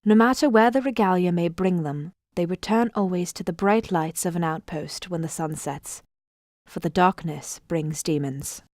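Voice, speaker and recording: A woman giving scripted dramatic narration as a storyteller, solemn, formal and measured. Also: lowish voice, a little mellow, no echo, soft background music.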